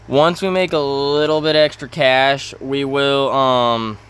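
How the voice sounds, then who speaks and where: A man giving casual commentary over farming gameplay, halting and often correcting himself as he thinks aloud. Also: mid-range voice, fairly even intonation, fairly bright tone, clear, resonant tone. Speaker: gaming video host.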